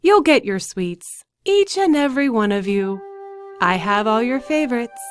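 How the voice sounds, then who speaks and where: Storybook role: gentle old grandfather played by a woman, wistful and hesitant, animated swings in pitch, music behind. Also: normal speed, close to an everyday voice. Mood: inviting, nostalgic. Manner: wistful, murmuring to himself, hesitant ums. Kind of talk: storybook character voice for children.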